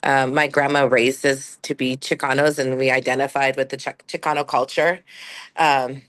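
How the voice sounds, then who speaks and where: A woman's voice: extremely low pitch, level tone, moderate pace, fairly bright tone, no echo. A woman in her fifties from Southern California, telling a rambling personal story with laughs between thoughts. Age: 50s. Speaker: panel guest.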